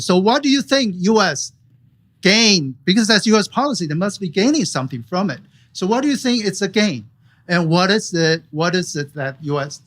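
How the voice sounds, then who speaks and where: A man from Taiwan, asking a question from the audience at a public forum, halting and restarting often. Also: high voice, a bit bright. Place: event hall audience floor.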